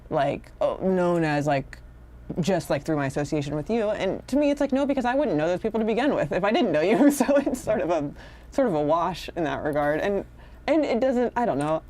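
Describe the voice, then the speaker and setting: A woman's voice: lowish voice, lively intonation, a little fast, warm tone. A woman in casual, reflective conversation, rambling and slipping into quoted asides as she explains herself.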